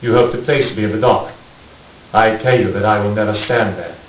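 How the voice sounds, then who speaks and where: A man acting a menacing, courteous criminal mastermind in radio theater, speaking in a formal, deliberate, coldly polite way. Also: fairly low pitch, fairly even intonation, close to an everyday voice. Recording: tinny, hissy, roomy, distant microphone.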